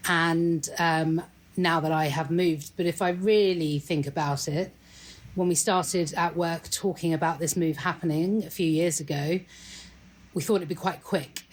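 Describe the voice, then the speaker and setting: A woman's voice: low-pitched, fairly even intonation, a little slow, poor audio. A middle-aged British woman with a British accent, a guest on a podcast, speaking in an articulate and reflective way. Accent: British English. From Great Britain. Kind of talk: casual interview.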